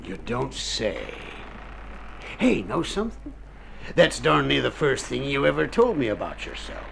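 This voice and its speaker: Gravelly, lively schooner captain. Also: male voice, medium pitch, measured pace, crowd noise, heavily acted. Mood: softening, friendly. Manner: folksy, teasing, slangy seafarer talk. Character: shady old schooner captain, gruff but soft-hearted. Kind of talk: old radio drama acting. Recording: hissy old radio drama recording.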